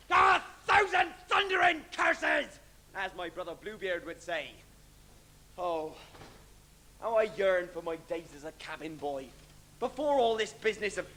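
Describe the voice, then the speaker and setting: An amateur actor playing a blustering, theatrical pirate captain as a comic pantomime villain, bellowing to the audience on a live stage. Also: male voice, high voice, bright, forceful tone, heavily acted. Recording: noisy live stage recording.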